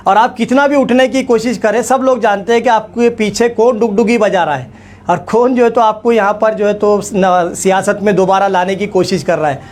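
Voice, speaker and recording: A man speaks in a notably high-pitched voice. He delivers a formal public statement as a leader would, with emphatic phrasing and pointed repetition. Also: fairly even intonation, moderate pace. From India. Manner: emphatic, repeats phrases for effect. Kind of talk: formal political address to camera.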